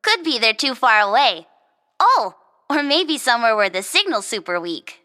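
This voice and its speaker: A perky young woman of a game cutscene, with a fairly high, lively voice that rises and falls freely. Her chatty lines ring with long reverb. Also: moderate pace. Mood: upbeat. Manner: chatty, upbeat. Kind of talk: English video game dub acting.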